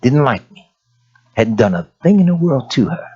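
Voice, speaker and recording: A raspy-voiced male preacher whose pitch swings widely, dipping low and climbing high as he drives a point home. He preaches in a folksy storytelling way, and the recording sounds dull and lo-fi. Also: big pitch swings, moderate pace, darkish tone. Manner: folksy storytelling preaching, rising to emphatic peaks. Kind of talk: sermon.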